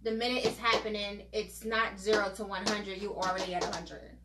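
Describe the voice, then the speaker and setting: A woman's voice: mid-range voice, level tone. A woman in her forties co-hosting a podcast, chatting casually and teasing her co-host. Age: 40s. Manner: teasing, chatty, quick to laugh. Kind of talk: casual chat. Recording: roomy with faint background noise.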